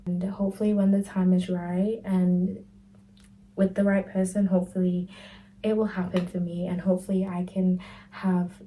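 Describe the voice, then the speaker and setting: A woman's voice: on the low side, little pitch movement, moderate pace, dark tone, a little hiss or hum, low-quality recording. Chatty young woman vlogging. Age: 20s. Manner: chatty, candid, lots of "like" and "type of thing". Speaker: lifestyle vlogger. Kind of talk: casual Q&A chat to camera.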